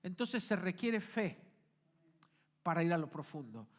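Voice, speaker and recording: Male pastor, high lively voice, emphatic preaching style. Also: a little slow. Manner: emphatic preacher, rising and dropping to quiet asides. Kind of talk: sermon. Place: church.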